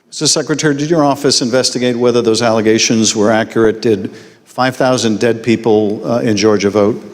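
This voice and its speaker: A man questioning a witness at a formal hearing in a measured, deliberate way. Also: mid-range voice, steady tone. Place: large hearing room. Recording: long hall reverb.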